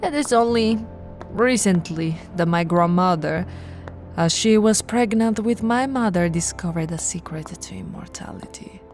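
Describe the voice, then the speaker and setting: Imperious vampire lady played by a woman, low-pitched and unhurried, possessive and menacing, slipping into whispers, for theatrical roleplay audio. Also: a little mellow, faint music behind, close to an everyday voice. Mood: proud, grand. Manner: imperious, deliberate, often drops to a breathy whisper. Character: haughty, possessive immortal vampire noblewoman, menacing aristocrat.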